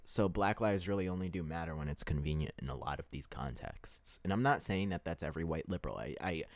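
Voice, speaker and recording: A man's voice: low voice, no echo. A man hosting a podcast, delivering a heated opinion monologue with emphatic restarts. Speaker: podcast host.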